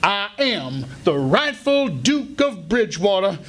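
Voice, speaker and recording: A man playing a weepy, pompous con man who poses as a wronged duke, melodramatic and halting in live radio theatre. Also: sits high, sing-song, fairly bright tone, heavily acted. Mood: solemn, grandiose. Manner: melodramatic, halting, sobbing and chuckling. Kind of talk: live old-time radio theatre acting. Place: live stage before an audience. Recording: live stage radio play, music behind.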